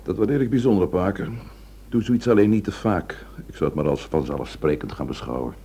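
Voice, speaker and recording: Low-voiced, dry police inspector. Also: male voice, very animated, a little fast, warm tone, slightly rough, full character voice. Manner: dry, understated, probing. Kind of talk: radio drama acting. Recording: noisy old radio play recording.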